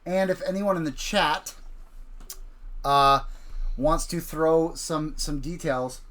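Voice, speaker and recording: A woman's voice: low-pitched, slow, a bit bright. A woman hosting a casual streamed storytelling session, setting the scene and questioning a child about his ideas. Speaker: host.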